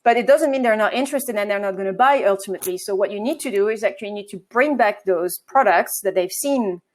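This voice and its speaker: A woman's voice: mid-range voice, fast. A woman from France with a French accent, a marketing agency co-founder answering interview questions, with hesitations and self-corrections.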